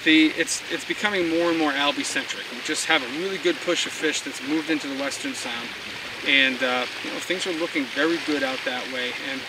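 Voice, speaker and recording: A man, hosting a fishing forecast outdoors by a harbor in a relaxed, conversational way. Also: fairly high pitch, a little fast. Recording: distant mic with loud outdoor noise.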